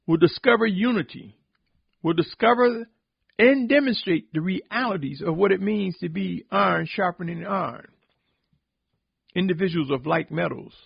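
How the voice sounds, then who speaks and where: A man giving a solemn, sermon-like podcast reflection, exhorting deliberately with emphatic repetition in a husky, gravelly voice. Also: sits high, no room sound, muffled recording. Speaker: religious podcast host and preacher.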